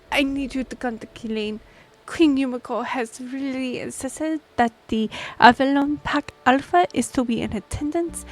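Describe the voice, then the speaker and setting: A woman's voice: high-pitched, rough recording. A woman playing an earnest, easily flustered young half-elf royal liaison in a fantasy audio roleplay, with a stammering, pleading manner. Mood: earnest urgency. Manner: breathy sighs, stammering, pleading.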